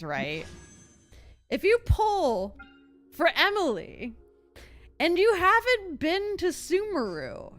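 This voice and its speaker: A young adult woman streamer chatting casually over gameplay, informal and opinionated, thinking out loud. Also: high voice. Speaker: game streamer. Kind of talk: casual live gameplay chat.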